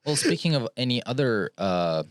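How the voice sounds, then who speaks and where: A man co-hosting a casual podcast, speaking in a measured, slightly hesitant way and steering topics. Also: medium pitch, very animated, no room sound, clean studio sound. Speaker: podcast co-host. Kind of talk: casual chat.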